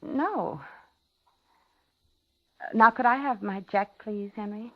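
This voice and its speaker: An American actress playing a polite, uneasy widowed office worker in a 1950s radio suspense drama, confiding and genteel. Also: female voice, fairly high pitch, takes it slowly, no room sound. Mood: nervous, apologetic. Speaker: radio drama lead and narrator. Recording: muffled 1950s radio recording, organ music.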